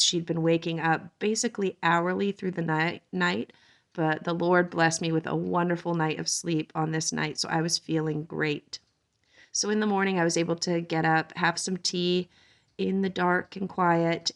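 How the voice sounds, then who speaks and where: A woman in her thirties gently narrating a homesteading family's day as a conversational vlog voice-over, with frequent "um" fillers. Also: fairly low pitch. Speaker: homesteading vlogger and mother. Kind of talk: relaxed voice-over narration of a daily-life vlog.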